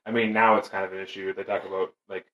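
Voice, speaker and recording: A man's voice: low voice, little pitch movement, fast, bright, forceful tone. A man in casual chat who meanders and searches for words as he talks.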